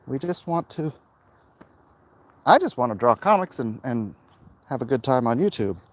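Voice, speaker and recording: A middle-aged man talking casually and haltingly to his viewers in a monologue recorded while out walking. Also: medium pitch, big pitch swings, no room sound. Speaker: comic book creator vlogging. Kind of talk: casual walk-and-talk monologue. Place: outdoors, walking along a hilly route.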